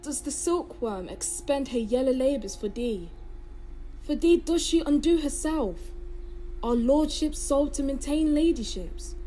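A young woman playing an earnest, sardonic avenger's sibling in a Jacobean audio drama, speaking verse in a measured, dramatic way. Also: sits high, warm tone, slight background noise, rough recording. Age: young adult.

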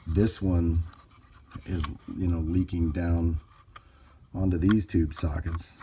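A man with a deep, mellow voice talks casually through hands-on work, thinking aloud as he goes. It is an unscripted tutorial recorded at a workbench on a nearby camera mic, with clear background noise. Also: little pitch movement, slow. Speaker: hobbyist repairer demonstrating his work. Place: indoors at a workbench.

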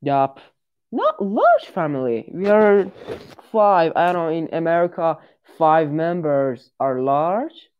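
A man in his twenties from Iran chats slowly in halting English, filling his pauses with hesitations. His voice is smooth and clear. The audio is dull and lo-fi. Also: fairly high pitch, very animated. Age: 20s. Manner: halting, searching for English words with many fillers. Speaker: guest on a chat show. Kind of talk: casual chat.

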